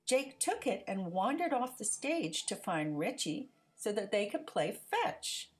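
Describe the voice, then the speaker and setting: Expressive, unhurried woman reading a storybook. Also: mid-range voice. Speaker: storybook narrator. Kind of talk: animated children's read-aloud narration.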